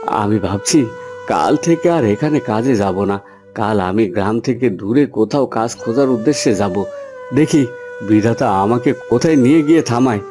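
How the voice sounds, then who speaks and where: An actor playing a poor, humble and weary village farmhand in a cartoon fairy tale, speaking earnestly. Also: male voice, mid-range voice, lively intonation, moderate pace, music in the background, lightly acted. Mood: resigned. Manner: earnest, pleading.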